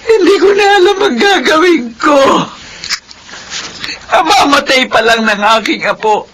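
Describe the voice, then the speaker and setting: A woman's voice: high voice, lively intonation, a little slow, a bit bright, a little hiss or hum. An actress playing a middle-aged, anguished mother and grandmother in a tearful, melodramatic radio drama style. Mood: wailing despair. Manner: tearful, wailing. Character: anguished grandmother, despairing mother of grown children.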